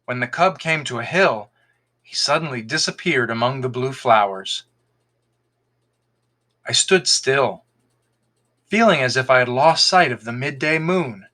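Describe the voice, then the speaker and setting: A man reading a folktale aloud as an expressive podcast storyteller, in scripted narration. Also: medium pitch, unhurried, long pauses.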